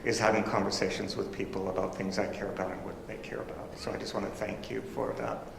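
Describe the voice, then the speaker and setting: Male academic panelist, reflective and halting, recorded in a roomy hall. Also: on the low side, level tone, gravelly. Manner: thoughtful, halting with repeated restarts. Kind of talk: conference remarks. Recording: roomy hall with long reverb, faint noise.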